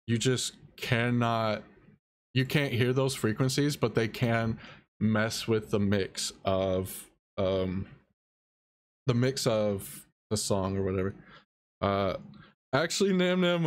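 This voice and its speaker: Young male streamer, quiet low-key delivery, drawn-out pace. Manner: soft-spoken, halting, reads chat aloud. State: dry mouth. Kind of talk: casual live-stream tutorial.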